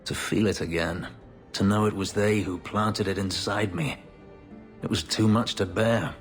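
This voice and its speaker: An actor playing a brooding, bitter elf and escaped slave in a video game, terse and intense. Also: male voice, deep voice, steady tone, measured pace. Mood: anguished. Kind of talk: dramatic video game acting. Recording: reverberant game audio with music.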